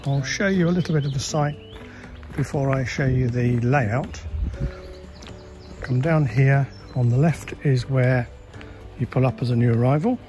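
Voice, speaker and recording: A man with a relaxed, chatty manner, narrating a tour of a campsite as he walks, recorded outdoors on a handheld camera. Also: medium pitch, measured pace, pauses often, soft background music. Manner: relaxed, chatty, guiding with frequent fillers. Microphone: handheld camera, filming while walking.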